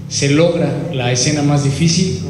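A man's voice: a little high, normal speed. A man in his thirties with a Mexican accent, talking informally at a press conference. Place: large indoor room. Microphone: handheld microphone. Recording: very echoey room, faint noise.

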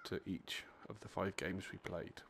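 A man's voice: low voice, little pitch movement, a little slow, vocal fry, a bit bright, a little hiss or hum. A man from England with an English accent, giving a casual, self-deprecating intro and chatting with other players. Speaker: video maker and player.

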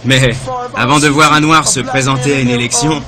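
A man's voice: mid-range voice, steady tone, brisk. Slangy male voice-over translator. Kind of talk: dubbed voice-over of an interview.

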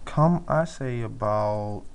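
A man with a fairly low, creaky voice talks someone through a drawing step by step. His delivery is casual and halting, with pauses as he works. It is an amateur recording with faint noise behind him. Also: animated. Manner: halting, informal, pauses while working. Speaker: drawing tutorial instructor.

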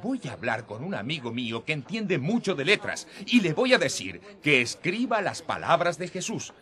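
An actor playing a fiery, stubborn, impatient missionary in a radio drama, insistent and full of exclamations. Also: male voice, a little high, sing-song, normal speed, sharp tone. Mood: urgent, determined.

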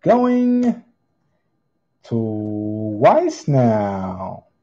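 Male online auctioneer, slow-paced and mellow, theatrical singsong bid calls, slightly muffled recording. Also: lowish voice, huge pitch swings, soft background music. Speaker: online auctioneer, channel host. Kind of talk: live online coin auction calling. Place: indoors at a tabletop display.

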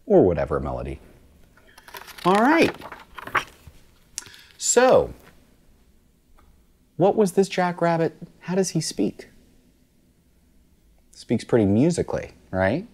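A man in his thirties reading a picture book aloud and teaching children about musical instruments in an animated, sing-song way, recorded on a lapel mic in a small studio room. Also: on the high side, slow, long pauses, a little hiss or hum.

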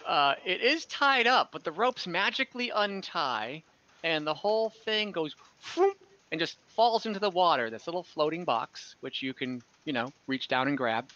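Game master, young man, bright voice, loose rambling narration, dull call audio. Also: on the high side, normal speed. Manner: relaxed, rambling narration with many fillers. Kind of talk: narrating a tabletop game over video call. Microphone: headset on a video call.

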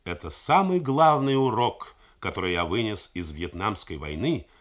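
A man reading the words of an American colonel recounting what he saw at war, in a grave, measured manner. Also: lowish voice, lively intonation, fairly bright tone. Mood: solemn. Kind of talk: 1980s radio novel reading.

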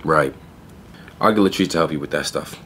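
A laid-back young clerk in an audio drama. His voice is deep and his delivery is casual and fast, over faint background ambience. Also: creaky, fairly bright tone, slight background noise, full character voice. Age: young adult. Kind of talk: audio theater acting.

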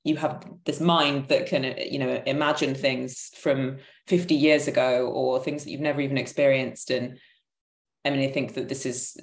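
A woman in her thirties thinking aloud in an emphatic, searching way as a panelist on a video call. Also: a bass voice. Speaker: panelist, theatre maker. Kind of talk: reflective conversational explanation. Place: indoor room at home, video call. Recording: roomy, echoing video-call sound.

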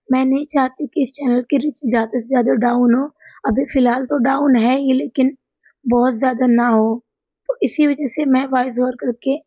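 Woman, hoarse, chatty voice-over host, high voice, dull dry recording. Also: dark tone, no echo. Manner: chatty, addresses viewers as friends. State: hoarse from a sore throat. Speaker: cooking and home remedy channel host. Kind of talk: voice-over narration of a home remedy demo. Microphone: voice-over recorded apart from the video.

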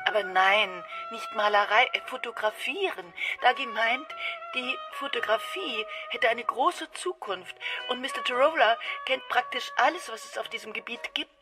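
Woman as a high-voiced, chattering nervous mother. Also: normal speed, music in the background, natural voice, low-quality recording. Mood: chatty, coaxing. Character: chattering, nervous, needling middle-aged mother on the telephone. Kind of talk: German radio play acting.

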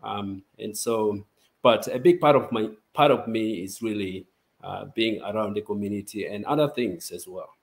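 A man's voice: fairly low pitch, normal speed, creaky. A young man from South Sudan, a guest chatting easily on a video call from a home office. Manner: relaxed, chatty, laughs easily. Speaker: interview guest.

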